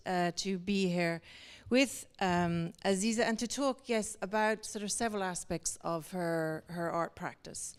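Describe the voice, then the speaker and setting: A woman's voice: on the low side, clean tone. A woman moderating an art talk in a semi-formal way before an audience, speaking in long, winding, hesitant sentences. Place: indoor talk venue with an in-person audience.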